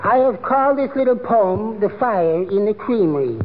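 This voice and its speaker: A timid, modest little poet, pitched high and speaking with precious care. He belongs to an old radio comedy, heard through a narrow, faintly noisy broadcast. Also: lively intonation, normal speed. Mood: shyly proud. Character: meek, earnest henpecked little amateur poet. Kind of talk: 1940s radio comedy acting.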